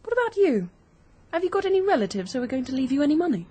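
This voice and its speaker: An actress playing a bold, quick-witted young British woman in an old-fashioned radio mystery, brisk, frank and flippant. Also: sits high, sing-song, mellow. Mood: brisk, scheming. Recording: muffled vintage radio drama recording.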